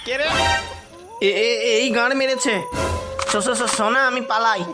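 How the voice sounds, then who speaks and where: Guy voicing a hotheaded cartoon tea stall man, really high voice, brash, music behind. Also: fairly even intonation, normal speed. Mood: panicked. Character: hot-tempered, foul-mouthed village tea-stall owner man.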